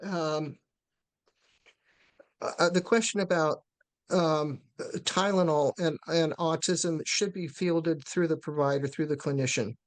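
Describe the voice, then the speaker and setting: A woman presenting a live webinar, answering questions haltingly with many fillers and restarts. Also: deep voice, steady tone.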